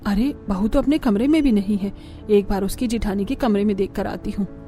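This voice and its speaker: A woman's voice: mid-range voice, fairly quick, warm tone, faint music behind, lightly acted. A woman voicing a scolding, exasperated middle-aged mother-in-law in a Hindi cartoon family story. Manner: nagging, exclamatory.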